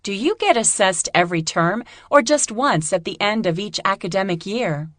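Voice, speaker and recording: A woman playing a friendly, curious young student in a scripted, clearly enunciated listening-test dialogue. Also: mid-range voice, expressive, moderate pace, fairly bright tone, no echo, studio quality, lightly acted. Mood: curious, encouraging.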